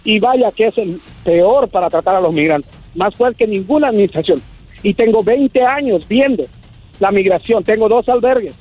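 A man's voice: sits high, expressive, normal speed. A middle-aged Mexican man from Michoacán, an activist guest giving impassioned commentary in an interview. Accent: Mexican Spanish. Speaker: migrant shelter founder and activist, interview guest. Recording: muffled tinny phone line, faint music.

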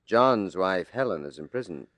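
An actor playing a hard-boiled, shrewd, musing police detective in an old-time radio mystery. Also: male voice, low-pitched, expressive, moderate pace, fairly bright tone, dry recording. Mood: musing, probing.

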